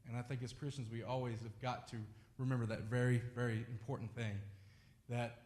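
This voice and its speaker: A man's voice: medium pitch, level tone, a little fast, a little mellow. Man addressing a church in a roomy hall. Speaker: church event host introducing speakers. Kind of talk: informal spoken address to a church audience.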